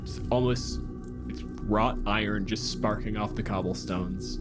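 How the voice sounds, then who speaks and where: A man's voice: fairly low pitch, fairly even intonation, a little slow, over music. A man in a tabletop game, casually improvising narration of characters and scenery.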